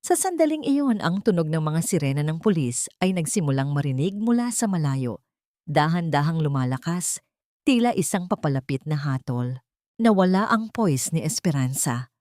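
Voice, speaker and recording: Female story narrator, Filipino accent, low-pitched, dramatic scripted read, very dry recording. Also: big pitch swings, normal speed, a little mellow, no room sound.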